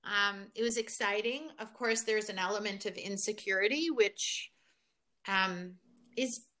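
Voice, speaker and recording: A woman's voice: mid-range voice, unhurried, pushed and bright. A woman from Kenya, a guest in a conversational interview, telling her story reflectively with many fillers.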